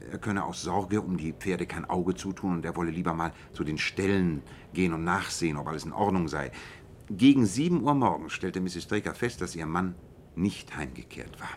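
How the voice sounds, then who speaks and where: Deep voiced detective, self-assured and precise, radio play storytelling, bit of rumbly noise. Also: male voice, animated, moderate pace. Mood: measured, intent. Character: keen, self-assured male detective recounting a case. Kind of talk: German radio play acting, narrative.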